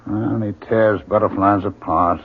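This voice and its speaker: An actor playing a harried, caring academic husband in a 1970s radio drama, with exasperated, emphatic delivery. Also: male voice, fairly low pitch, steady tone, a little slow, a little hiss or hum. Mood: pressing, troubled. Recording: muffled vintage radio broadcast.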